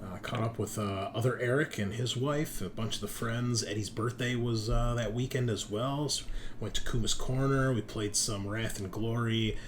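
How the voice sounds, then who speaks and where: A man's voice: mid-range voice, fairly even intonation, moderate pace, background noise. A man hosting a tabletop game as game master, bantering casually with teasing and swearing.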